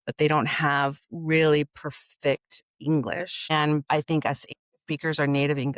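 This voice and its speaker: A woman with a Californian accent, a guest in a casual interview, talking things through thoughtfully and trailing off into softer asides. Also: sits low, dry recording. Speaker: podcast guest, culture strategist.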